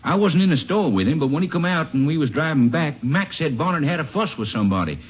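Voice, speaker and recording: A male actor playing a humble, folksy farmhand in an old-time radio drama, husky and hesitant, with drawn-out phrasing. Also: fairly high pitch, lively intonation. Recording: muffled old radio recording.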